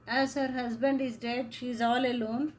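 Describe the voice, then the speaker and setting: A woman teacher giving a slow lecture, repeating key phrases as she explains. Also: fairly high pitch, steady tone, a little hiss or hum. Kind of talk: classroom lecture.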